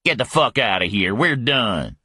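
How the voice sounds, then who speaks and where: A man puts on an angry Southern ex-con with a Southern US accent, blustering in a raised voice pitched higher than the narrator's. The recording is dry and close. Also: sing-song, moderate pace, pushed and bright, slightly rough, dry recording, full character voice.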